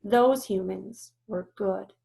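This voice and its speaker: A woman's voice: medium pitch, slow, vocal fry, mellow. A woman voicing an eager, earnest young female baby elephant in a read-aloud storybook, telling things in an emphatic, childlike way. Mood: earnest, firm.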